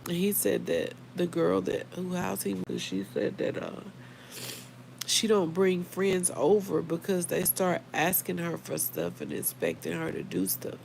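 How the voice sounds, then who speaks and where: An American woman talks earnestly and conversationally to her livestream audience. Her voice is slightly hoarse with a creaky edge, and she speaks at a slow pace. There is faint noise behind her. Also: lowish voice, darkish tone, low-quality recording. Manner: conversational, earnest, addresses listeners directly. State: a little hoarse. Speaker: livestream host. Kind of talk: casual live chat reading viewer comments.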